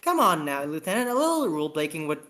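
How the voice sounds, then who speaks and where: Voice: mid-range voice, very animated, quick pace, a bit bright. An amateur reader voicing a carefree woman spaceship captain from a sci-fi story, breezily brushing off blame or protesting. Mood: nonchalant.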